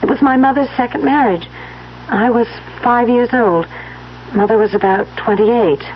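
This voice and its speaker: A woman's voice: a little high, moderate pace, natural voice. An actress playing a tender, wistful woman in an old-time radio drama, speaking earnestly and with feeling. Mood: wistful, fond. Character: tender, wistful woman mourning her beloved step-grandfather. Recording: muffled vintage radio recording, faint hiss.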